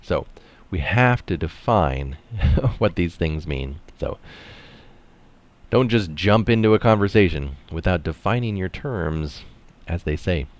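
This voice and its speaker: A man's voice: low-pitched, lively intonation, slow, long pauses. A man reading an article aloud, stressing phrases and adding casual asides of his own. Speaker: article reader and commentator.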